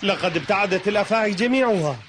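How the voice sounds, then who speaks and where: A performer voicing a lively young boy friend in a cartoon dub, urgent and encouraging. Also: medium pitch, quick pace, very noisy, close to an everyday voice.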